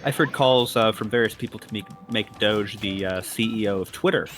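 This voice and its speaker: A man's voice: on the low side, a little fast, a bit bright, soft background music. A young man co-hosting a stream, tossing in dry, joking asides. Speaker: stream co-host. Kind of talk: casual banter.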